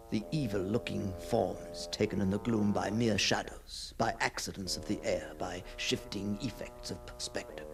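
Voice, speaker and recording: A man's voice: medium pitch, natural voice. An actor playing an obsessed, brooding man who stalks apparitions, telling his ghost story in a theatrical, intense monologue. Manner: theatrical, hushed passages swelling into fervent declamation. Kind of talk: dramatic first-person ghost story monologue.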